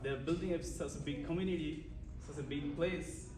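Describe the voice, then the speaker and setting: A man's voice: a little high, fairly even intonation, normal speed, background noise. Guy talking to a community crowd, lots of likes and restarts, far off, echoey. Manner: hesitant, many restarts and "like" fillers. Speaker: guest speaker. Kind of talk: informal speech to a community gathering. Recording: echoey hall from far off, loud chatter.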